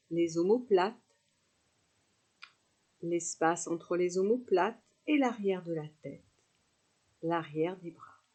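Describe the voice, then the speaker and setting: A woman guides a relaxation meditation at a very slow pace, leaving pauses between her instructions. Her voice is mellow and husky, with a soothing, unhurried delivery suited to a yoga nidra class. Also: on the low side.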